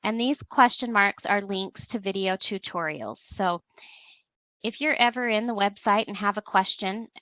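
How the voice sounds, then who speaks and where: A woman's voice: mid-range voice, moderate pace, no echo. A woman giving an instructional webinar walkthrough, explaining things step by step as a trainer. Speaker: presenter, compliance software trainer. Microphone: telephone conference call. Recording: thin, narrow-band phone line.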